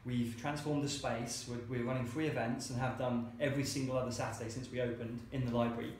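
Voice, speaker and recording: A man's voice: mid-range voice, fairly even intonation, fast talker, a little mellow. A man, founder of a co-working space, telling stories in an interview in a chatty way and acting out the lines of the people he quotes. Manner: chatty, acts out quoted dialogue. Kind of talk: anecdotal storytelling interview. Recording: very echoey room with faint hiss.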